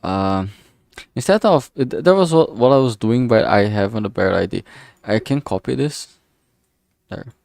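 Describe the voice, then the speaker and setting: A man thinking aloud in a casual, halting way while drawing on a stream, talking mostly to himself. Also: mid-range voice, animated, gravelly, a little mellow, dry recording. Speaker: streamer making visual novel art.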